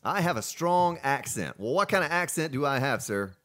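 A man's voice: a little high, animated, normal speed, fairly bright tone, a little hiss or hum. A man from Florida chatting casually on a live game stream, answering viewers between gameplay remarks. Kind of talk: casual live-stream chat while playing.

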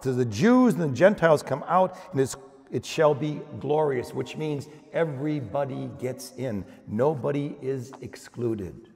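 Older American priest preaching in echoey church. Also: male voice, a little high. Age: 60s or older. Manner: emphatic, dramatic pauses, rhetorical questions. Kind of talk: homily preached to a congregation. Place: large church, at the lectern. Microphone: gooseneck lectern microphone. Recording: echoey church with long reverb.

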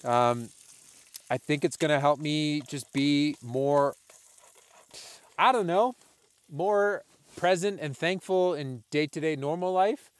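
A man's voice: a little high, dry recording. Candid cold man vlogging in a tent. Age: late 20s. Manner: thoughtful, candid, self-deprecating, halting restarts. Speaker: outdoor filmmaker. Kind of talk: casual reflective vlog narration. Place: inside a small tent on a snowy mountain summit.

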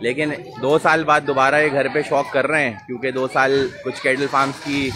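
Brief: male live-stream host, mid-pitched, chatty, street crowd and traffic behind. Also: level tone, brisk. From Pakistan. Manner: chatty, greets viewers by name, repeats mashallah. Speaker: livestream host. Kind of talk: live commentary, greeting viewers. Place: city street outdoors.

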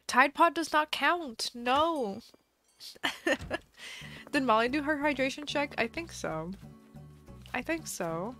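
A woman's voice: high voice, animated, slow pace, pauses often, sharp tone. A woman live streaming a game in casual chat, chatty and giggly, her voice slightly stuffy from a cold. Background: faint background music.

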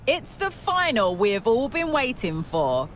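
Excited female football presenter, high-pitched and dramatic, building match-day hype for a video game over stadium ambience. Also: a little slow, background noise. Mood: excited anticipation. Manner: dramatic, hyped, emphatic delivery. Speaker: sports commentator. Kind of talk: scripted pre-match football commentary. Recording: clear stadium ambience behind voice.

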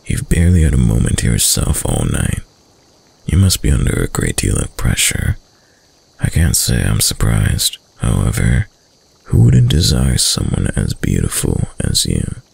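Very deep, gravelly seductive dark prince acted by a man. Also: very animated, exaggerated voice. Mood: courtly, flattering. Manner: slow, hushed, suave, deliberate. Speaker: voice actor. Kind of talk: intimate roleplay audio drama.

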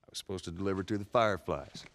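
An actor playing a weary, stubborn middle-aged survivor in a video game, with a Southern US accent and a terse, gruff manner. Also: male voice, deep voice, rapid-fire, fairly bright tone, slight background noise. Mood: insistent. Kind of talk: cinematic video game acting.

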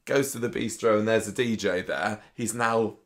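Hoarse low-voiced man. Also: steady tone, normal speed. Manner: chatty, mimics characters in exaggerated voices. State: sore throat, losing his voice. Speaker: podcast co-host. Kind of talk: casual soap review chat.

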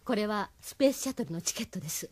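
A woman playing an urgent, resolute resistance member in an audio drama, brisk and firm. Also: mid-range voice, animated, darkish tone, no echo, clean studio sound, close to an everyday voice. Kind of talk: Japanese audio drama acting.

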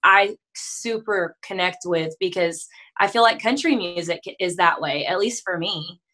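A woman's voice: fairly low pitch, measured pace, bright, forceful tone. Young woman, country singer, interview guest, chatty, friendly, fillers, webcam, home room. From Texas. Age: young adult. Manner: friendly, chatty, frequent "um" and restarts. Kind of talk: casual interview chat. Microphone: video call, webcam.

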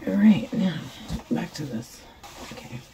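Raspy-voiced woman crafting at her table. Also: fairly low pitch, expressive, measured pace, dark tone, slight background noise. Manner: rambling, self-deprecating, stammers and repeats. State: raspy from cheering at a concert. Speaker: resin craft channel host. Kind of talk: casual chat while crafting.

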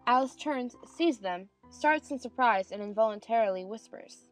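A woman's voice: a little high, animated, normal speed, faint music behind. A woman narrator reading stage directions in a scripted dramatized audiobook.

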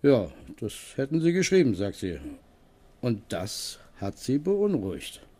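An actor playing a kindly, practical farm tenant in a German radio play, talking in a coaxing, homely way. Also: male voice, mid-range voice, very animated, measured pace, dark tone. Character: plain-spoken middle-aged male farm tenant, kindly, practical.

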